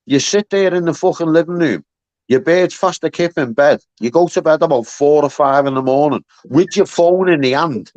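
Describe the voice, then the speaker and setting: A man's voice: on the high side, moderate pace, a little mellow, no room sound, highs cut off. A man in his fifties, talking bluntly and swearing freely on a live stream panel. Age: 50s. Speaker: panel guest.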